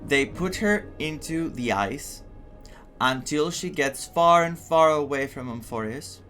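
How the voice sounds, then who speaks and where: A man's voice: fairly high pitch, expressive, measured pace, pushed and bright, low-quality recording. Young man streaming over game music. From Greece. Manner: rambling, thinks aloud. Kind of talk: casual playthrough commentary, reading dialogue aloud.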